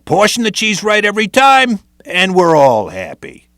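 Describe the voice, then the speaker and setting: A man's voice: high-pitched, wildly swooping pitch, normal speed, a bit bright, no echo, clean studio sound. A man narrating a scripted training video in a streetwise tough-guy patter.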